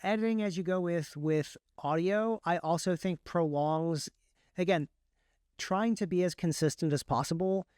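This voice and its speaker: Higher-pitched man, clear and dry. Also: moderate pace, a little mellow, dry recording. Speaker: guest, indie author and audiobook narrator. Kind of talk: casual interview talk, explaining a process.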